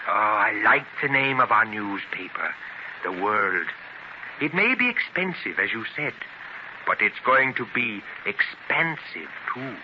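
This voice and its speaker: An actor playing an ambitious, intense immigrant newspaper publisher in earnest, declamatory 1930s radio drama style. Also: male voice, on the low side, very animated, a little slow. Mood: warm, pleased. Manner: earnest, declamatory, theatrical delivery. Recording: noisy, dull 1930s radio transcription.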